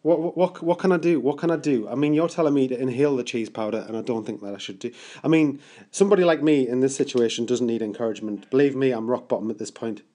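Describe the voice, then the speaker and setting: A man's voice: medium pitch, fast talker, warm tone. Hesitant, stammering British man on a prank call. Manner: hesitant, stammering, rambling with mock-earnest seriousness. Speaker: prank caller. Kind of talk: improvised prank phone call.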